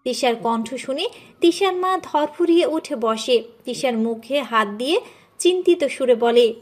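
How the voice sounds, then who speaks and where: A woman's voice: high voice, lively intonation, a little slow, a little mellow, faint music behind. A woman reading a scripted story as its narrator.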